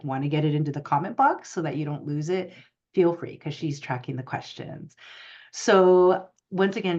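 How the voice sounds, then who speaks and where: A woman's voice: fairly low pitch, darkish tone, lo-fi sound. A woman moderating an online panel in an informal, chatty, explaining way over a video call. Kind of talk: casual hosting of an online panel.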